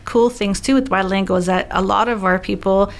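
A woman's voice: mid-range voice, fairly even intonation, brisk. Female guest, conversational, encouraging storyteller. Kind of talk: casual interview chat.

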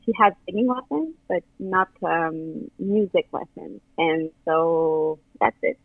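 A woman's voice: lowish voice, animated, slow pace, dry recording. French-accented woman on a tinny phone line. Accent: Quebec French. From Quebec. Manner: hesitant, many fillers and restarts. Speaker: guest musician. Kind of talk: casual interview over the phone.

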